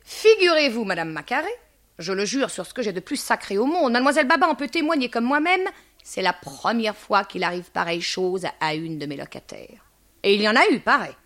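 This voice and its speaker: A woman's voice: medium pitch, very animated, brisk, fairly bright tone. A woman voicing a gossipy, melodramatic landlady in a literary tale, chattering and exclaiming effusively. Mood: gossipy, indignant. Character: gossipy, limping, one-eyed middle-aged landlady, effusive and melodramatic. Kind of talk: dramatic literary reading.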